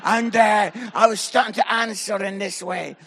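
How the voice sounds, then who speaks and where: A man preaching in church, telling a funny story with loud punchlines and acting out the voices he quotes. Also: high voice, fairly even intonation, moderate pace, a little hiss or hum. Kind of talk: humorous sermon storytelling.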